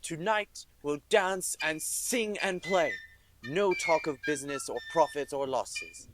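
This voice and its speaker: A man's voice: a little high, slow, music in the background. A man acting a jolly, generous old employer in a radio drama. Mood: jolly, hearty. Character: jolly, generous old male employer calling his apprentices.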